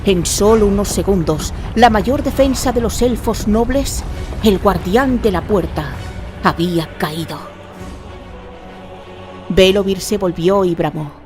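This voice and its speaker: A woman from narrates a fantasy radio drama. Her mid-pitched delivery is scripted and dramatic, with music playing clearly underneath. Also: measured pace.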